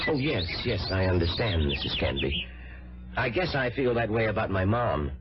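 Young American male boarder, friendly and eager, animated delivery, hesitant, radio drama, muffled old recording. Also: fairly low pitch, normal speed, noisy background. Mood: sympathetic. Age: young adult.

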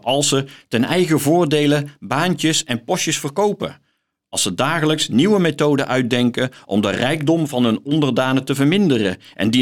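A middle-aged man, a guest professor explaining things in an interview, thoughtfully and emphatically, halting between phrases. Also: a little high. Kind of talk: explanatory interview talk with some reading aloud.

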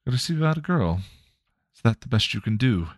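A prim, fussy young gentleman who speaks formally and properly, as a man puts him on in a very low voice. It is recorded close and dry. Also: lively intonation, moderate pace, dry recording.

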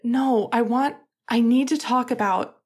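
A woman voicing a tall young male hockey player who speaks softly and coaxingly. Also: a little high, unhurried, husky, pitched above the performer's own voice. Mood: soft, pleading. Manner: soft, coaxing.

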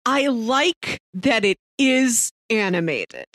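A woman's voice: on the high side, very animated, slow, fairly bright tone, no echo, studio quality. A woman co-hosting a podcast in casual chat, talking with enthusiasm and strong opinions about what she watches. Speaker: podcast co-host discussing a TV series.

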